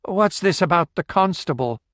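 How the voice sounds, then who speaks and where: A man's voice: high voice, expressive, normal speed, fairly bright tone, no room sound, studio quality, voice pushed up. A man voicing a curious visitor who asks questions while trying to sound disinterested. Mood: probing. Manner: casual, carefully disinterested. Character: curious middle-aged male holidaymaker, guarded.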